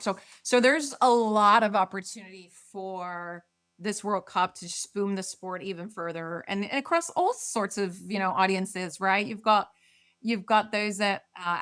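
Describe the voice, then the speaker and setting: A woman's voice: mid-range voice, fairly bright tone, clear, resonant tone. A middle-aged woman from Argentina, a marketing executive being interviewed, speaking casually with frequent fillers, repeats and restarts. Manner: hesitant, many restarts and fillers.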